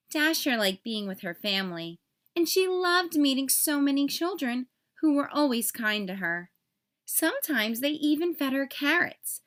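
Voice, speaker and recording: Lady reading a kids story out loud, kinda high voice, expressive storyteller style. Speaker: read-aloud storyteller for children. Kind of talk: expressive scripted story narration.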